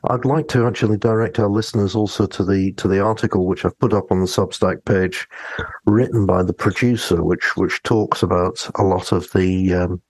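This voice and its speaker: A man co-hosting a podcast in casual, discursive conversation, with a gravelly, husky voice. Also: sits low, fairly even intonation, moderate pace, a little mellow, dry recording, poor audio. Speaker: podcast co-host.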